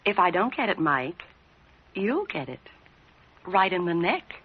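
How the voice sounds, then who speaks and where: A woman's voice: on the low side, very animated, measured pace, husky, heavily acted. An actress playing a tough, cynical woman in the rackets, hard-boiled and slangy, in old-time radio crime drama style. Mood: hard, threatening. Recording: muffled, lo-fi old radio recording.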